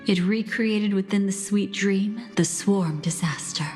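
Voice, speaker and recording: Woman acting a calm enigmatic storyteller in reverb. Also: mid-range voice, measured pace, natural voice. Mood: composed, knowing. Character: calm, enigmatic female storyteller revealing past schemes. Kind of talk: scripted video game story narration. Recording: reverberant, music underneath.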